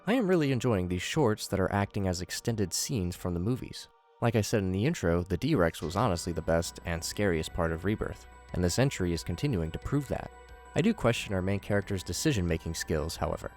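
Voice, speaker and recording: A man with a low voice narrates a scripted recap and adds his own commentary. He slips in wry, jokey asides as he goes, and music plays clearly behind him. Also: lively intonation, brisk, a little mellow.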